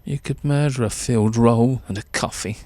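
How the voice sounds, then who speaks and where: A man's voice: mid-range voice, normal speed, warm tone, no echo. A man narrating a suspenseful short story in the second person, with theatrical delivery.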